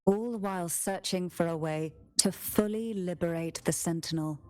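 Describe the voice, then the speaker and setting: An actress playing a solemn, refined noblewoman in a video game, speaking in a measured, formal, stately way. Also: on the low side, mellow, lightly acted. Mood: grave, reflective. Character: solemn, refined noblewoman, head of a castle family. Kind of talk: dramatic video game dialogue. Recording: game audio with faint music behind.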